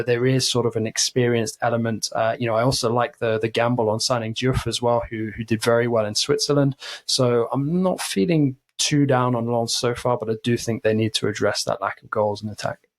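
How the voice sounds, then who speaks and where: A man giving long analytical answers as a football pundit on a podcast panel. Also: medium pitch.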